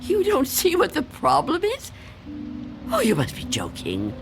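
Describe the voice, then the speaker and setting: A woman's voice: high voice, wildly swooping pitch, unhurried, vocal fry, soft background music, heavily acted. An actress playing a frightened, reclusive old woman afraid of death in a radio drama, tremulous and pleading. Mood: agitated.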